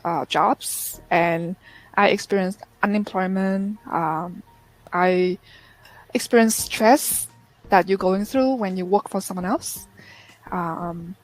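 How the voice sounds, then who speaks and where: A woman's voice: mid-range voice, takes it slowly, faint music behind, rough recording. Earnest woman on a video call. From Vietnam. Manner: earnest, reflective storytelling. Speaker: interview guest. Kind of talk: casual interview chat.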